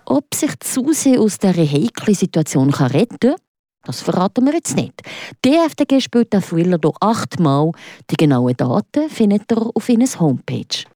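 A woman's voice: lowish voice, sing-song, normal speed, mellow, no echo, clean studio sound. A woman radio reporter with a Swiss German accent, narrating a scripted theatre report.

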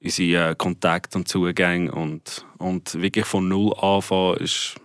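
A man's voice: low voice, little pitch movement, unhurried, a bit bright, no echo, clean studio sound. A young man from South Africa, a native Afrikaans speaker, talking casually in Swiss German with an Afrikaans tinge. He laughs easily and often restarts his phrases. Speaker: guest musician. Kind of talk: casual interview chat.

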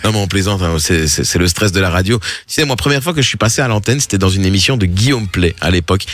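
A man's voice: low voice, lively intonation, quick pace, a bit bright. A man hosting an evening radio show, recapping clips with sarcastic jokes and teasing asides. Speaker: radio host. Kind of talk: mocking radio recap banter. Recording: radio broadcast with faint music bed.

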